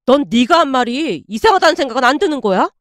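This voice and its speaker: A woman's voice: high voice, sing-song, fast, dry recording, clean studio sound. A woman voicing an exasperated, indignant young man in a dramatized story, blunt and scolding. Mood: disappointed.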